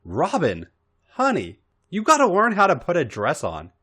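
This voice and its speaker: A man's voice: high-pitched, huge pitch swings, normal speed, fairly bright tone, slightly rough, voice pushed up, heavily acted. A man voicing a fond, worldly woman who mentors a younger friend, coaxing with pet names in a story reading. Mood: fond, amused.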